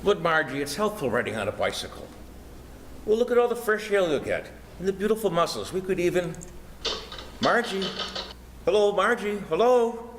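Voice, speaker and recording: A man's voice: high voice, big pitch swings, normal speed, long pauses, a bit bright. A man acting a grumbling, wheedling teenage son with slangy complaints in an amateur 1940s-style radio comedy. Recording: echoey room, clear background noise.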